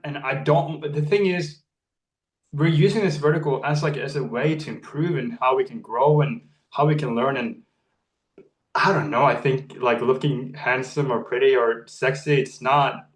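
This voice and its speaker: A man's voice: mid-range voice, roomy sound, recorded from a distance, low-quality recording. A young man from Norway, an actor talking earnestly in a casual interview, often restarting his phrases. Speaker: actor and model, interview guest. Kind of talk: reflective casual conversation.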